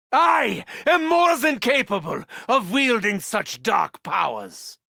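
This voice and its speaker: An actor playing a gleefully sadistic German mad scientist villain in a video game, theatrical and grandiose, with a German accent and mocking, formal phrasing. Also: male voice, extremely high pitch, creaky, pushed and bright, no room sound, studio quality, exaggerated voice. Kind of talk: video game character voice lines.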